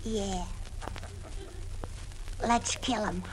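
A woman's voice: mid-range voice, steady tone, very slow. A woman playing a stubborn, mischievous little girl with a childish whine in an old radio comedy. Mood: childlike, sly. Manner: childish, whining, terse replies. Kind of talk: 1940s radio comedy acting. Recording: very noisy old radio recording.

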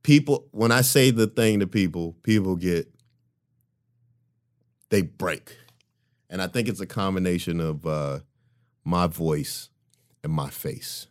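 Deep-voiced man in animated casual chat. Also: slow pace, long pauses. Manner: animated, emphatic, laughs often. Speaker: podcast co-host.

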